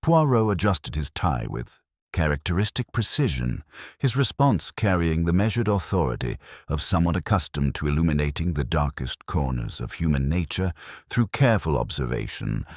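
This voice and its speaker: A man narrating a scripted mystery story in a measured, formal storytelling manner. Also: rumbling low, animated, no room sound, clean studio sound. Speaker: audiobook narrator.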